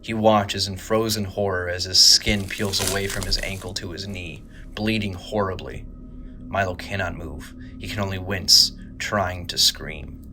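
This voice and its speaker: A man narrating a horror audio drama with measured, dramatic, scripted storytelling. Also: sits low, steady tone, music in the background.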